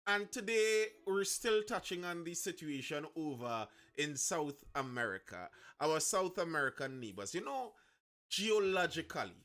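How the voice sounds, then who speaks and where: Male live-stream host, Trinidadian accent, bright forward voice, slightly high pitch, conversational news commentary. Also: expressive, measured pace. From Trinidad and Tobago.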